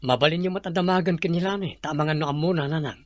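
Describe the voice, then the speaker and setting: Guy playing worried son in a radio drama, muted and reluctant, slightly high for a man. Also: fast talker, no echo, natural voice. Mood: worried, resigned. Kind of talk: Ilocano radio drama acting.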